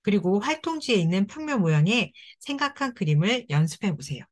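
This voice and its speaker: Woman, mid-pitched, calm scripted narrator for a children's craft lesson, encouraging. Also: brisk. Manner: gentle, encouraging, speaks directly to kids. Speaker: children's activity instructor.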